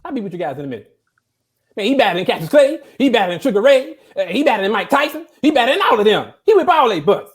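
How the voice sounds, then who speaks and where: A man playing a contentious, loud old barber who argues emphatically in slangy speech. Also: sits high, very animated, quick pace. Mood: indignant.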